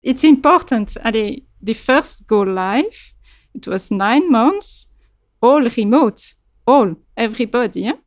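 A woman's voice: a little high, animated, slow, dry recording, studio quality. Direct woman. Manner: direct, emphatic, clipped phrases. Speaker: client project leader, interview guest. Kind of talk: explanatory interview answers.

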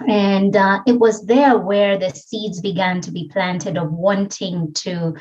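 A woman's voice: medium pitch, fairly even intonation, slow pace, darkish tone, muffled recording. A middle-aged woman from Kenya with a Kenyan accent, a podcast guest telling her story earnestly and reflectively, with many fillers. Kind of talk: interview conversation.

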